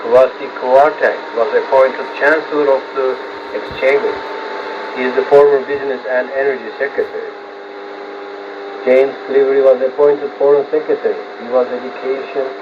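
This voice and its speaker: A man reads a scripted international news bulletin in a formal, somewhat halting manner, heard over shortwave radio. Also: mid-range voice. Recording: hissy, noisy, muffled shortwave radio reception. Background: loud static hiss.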